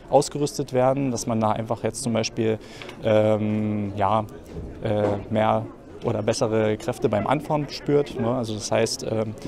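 A man in his thirties giving a spontaneous interview as a sales consultant, explaining things in halting phrases. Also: medium pitch. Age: 30s. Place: busy car showroom, indoors. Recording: busy showroom crowd noise. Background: crowd chatter.

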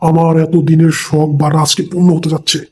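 A man playing a lecherous, foul-mouthed older father in a comic cartoon, talking in a crude, hurried way. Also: fairly high pitch, flat delivery, darkish tone, husky. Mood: eager. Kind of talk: comic cartoon dialogue in colloquial Bengali. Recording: music behind the voice.

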